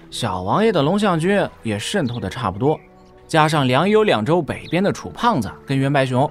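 A cocky cavalry general from a wuxia audio drama, hungry for glory. His voice sits fairly high and swings widely in pitch. He talks bluntly and with swagger, over background music. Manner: swaggering, blunt, rough-spoken.

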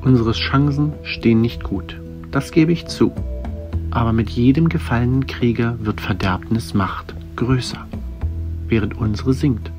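A man's voice: mid-range voice, unhurried, close to an everyday voice. Man acting an earnest fantasy fighter. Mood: grave, urgent. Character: resolute, grave male fantasy fighter, level-headed. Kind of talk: fantasy audio drama acting. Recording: music behind the voice.

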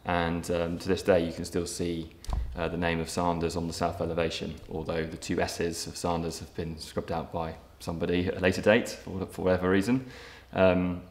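A man in his thirties, a property developer giving a documentary interview, speaking in a measured way with frequent ums and restarts. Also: deep voice, flat delivery. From England. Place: empty brick factory room, indoors, large. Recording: echoey empty room, faint ambience.

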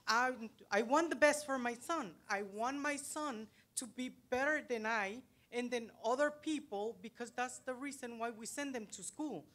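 A middle-aged woman from El Salvador with a Salvadoran Spanish accent, a parent speaking in halting English into a handheld mic in a library auditorium. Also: on the high side, fairly bright tone. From United States, raised in El Salvador. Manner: earnest, halting English with searching pauses. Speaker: parent speaking at a public meeting. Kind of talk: plain-spoken public comment. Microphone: handheld microphone.

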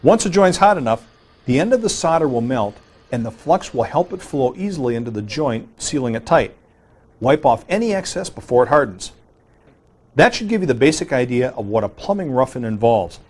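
A man hosting a home improvement show, giving plain, steady step-by-step instructions as he demonstrates the work. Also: medium pitch, fairly quick.

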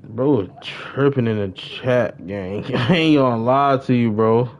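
A man's voice: mid-range voice, unhurried, slight background noise. A man livestreaming a game, chatting casually in slang and often repeating his phrases. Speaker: livestreamer and gamer. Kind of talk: casual gaming livestream banter.

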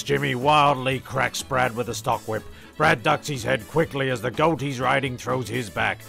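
A man's voice: medium pitch, vocal fry, pushed and bright. A man delivering scripted comic narration in a dry, mock-dramatic, crude way. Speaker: narrator of a comedy sketch series.

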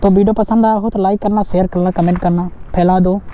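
A young man doing casual commentary while riding a motorbike, excited and teasing his friend with constant banter. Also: high-pitched, quick pace, highs cut off. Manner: excited teasing banter, keeps saying "bro". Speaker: motovlogger. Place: outdoors on a motorbike on an open highway. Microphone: action camera mounted on the rider. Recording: loud wind and road noise.

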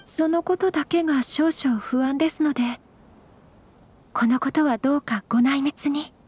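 An actress playing a dignified young noblewoman in a Japanese game dub, formal, courteous and composed, gentle but firm. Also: high voice, moderate pace, natural voice. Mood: calm, earnest. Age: young adult.

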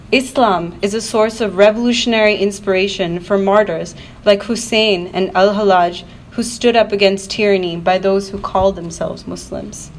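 A woman reading a prepared political speech at a live event, with earnest, declarative and emphatic phrasing. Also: medium pitch. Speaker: activist panel speaker. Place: university event, speaking to an audience. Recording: noisy live event room recording.

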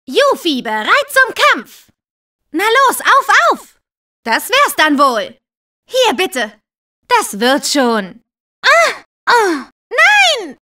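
A cheeky teenage ninja girl from a video game. The voice is high and swings widely in pitch, with perky, teasing energy. Lines come at an unhurried pace. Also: pushed and bright, heavily acted. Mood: cheerful, eager, then alarmed.

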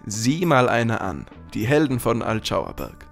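A man's voice: medium pitch, big pitch swings, a little slow, creaky, soft background music. A man playing a friendly but shady kebab shop owner in a parody radio play. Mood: genial.

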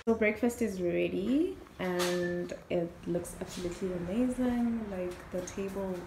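A woman's voice: fairly low pitch, unhurried, vocal fry, rough recording. A young woman from South Africa chatting to her phone camera on a girls' trip vlog, laughing often. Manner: chatty, laughing often, says "child" for emphasis. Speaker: vlogger. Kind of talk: casual vlog chat. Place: hotel room, later a cafe. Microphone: phone held at arm's length. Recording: music playing behind.

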